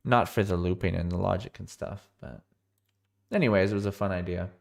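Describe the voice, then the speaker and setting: A man with a cold and a somewhat low voice talks through code on a live stream. He sounds tired, thinks aloud as he goes, and often catches and corrects himself mid-sentence. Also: a little fast, vocal fry, darkish tone. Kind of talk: live coding walkthrough.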